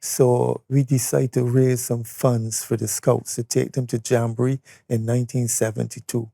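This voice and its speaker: A Barbadian man in his seventies reminiscing conversationally as an interview guest, unhurried and pausing as he tells his story. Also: mid-range voice, little pitch movement, creaky, dark tone, dry recording, studio quality. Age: 70s. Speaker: interview guest, retired or veteran educator. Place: studio.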